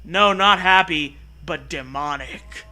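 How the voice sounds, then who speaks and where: A man's voice: a little high, slow pace, sharp tone. A man hosting a comedy show, reading a story aloud mockingly with sarcastic, profane, theatrical asides.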